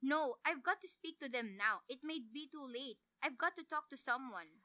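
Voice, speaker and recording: Young woman playing a shrill, frantic, imperious wife. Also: lively intonation, moderate pace, pushed and bright, no echo, studio quality. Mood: anxious, demanding. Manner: agitated, repetitive, indignant. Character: frantic, imperious, bedridden married woman, nervous and demanding. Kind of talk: 1940s radio thriller acting.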